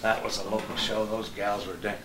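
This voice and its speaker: A man's voice: lowish voice, fairly even intonation, brisk. An older man chatting casually and reminiscing as a guest on a panel of old broadcasting friends. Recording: echoey room, distant mic, clear noise.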